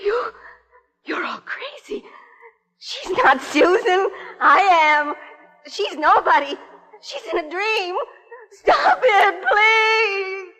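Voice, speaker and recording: An actress playing a frightened young wife, pleading breathlessly and desperately in a 1950s radio suspense drama. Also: female voice, very high voice, animated, takes it slowly, pauses often, bright, forceful tone, exaggerated voice. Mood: panicked. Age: young adult. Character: frightened, pleading young wife trapped in her own nightmare. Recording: echoey reverberant 1950s radio recording.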